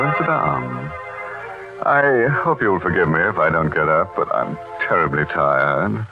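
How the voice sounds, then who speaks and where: An actor playing a mock-courteous, morbidly joking horror host in 1940s radio style. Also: male voice, low-pitched. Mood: macabre glee. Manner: mock-courteous, theatrical, macabre. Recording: muffled old radio broadcast with music.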